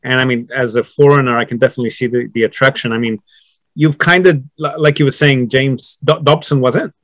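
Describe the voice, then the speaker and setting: A man in his fifties hosting a casual interview on a video call, friendly and quick with short affirmations. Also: medium pitch, steady tone, dry recording. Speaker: interviewer, real estate host. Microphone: laptop webcam on video call.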